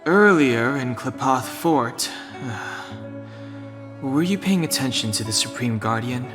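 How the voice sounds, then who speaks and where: A man's voice: mid-range voice, animated, takes it slowly, over music. An actor playing a serious, cautious young man in a video game, speaking in a restrained, matter-of-fact way. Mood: stern. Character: serious, reserved, cautious young male travelling companion. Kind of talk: English video game voice acting.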